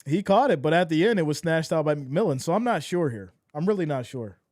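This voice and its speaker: A man doing live fan play-by-play of a football game, excitable and losing his voice, swinging from shouts to deflated mutters. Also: fairly high pitch, a little fast, dry recording, studio quality.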